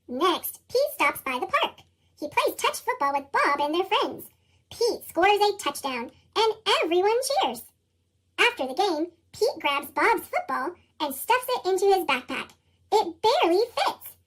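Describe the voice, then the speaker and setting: A woman's voice: very animated, measured pace, a bit bright. An American woman reading a children's storybook expressively, her voice processed into a chipmunk effect. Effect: sped-up chipmunk voice, pitched very high. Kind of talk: expressive scripted read-aloud narration for young children.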